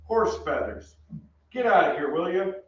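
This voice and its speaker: An actor playing a nervous, irritable, self-doubting young American soldier in a staged old-time radio drama. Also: male voice, sits high, lively intonation, a little slow. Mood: jumpy, annoyed. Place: theatre stage. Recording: very echoey, distant, dull stage recording.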